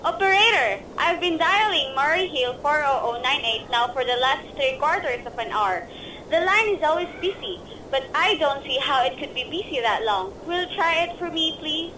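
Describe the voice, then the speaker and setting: Lady doing a jumpy bedridden wife in a radio play, high bright voice, whiny and pushy, hissy tape. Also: expressive, normal speed. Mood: anxious. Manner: fretful, shrill, insistent. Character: nervous bedridden wife alone at night. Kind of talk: amateur radio play acting.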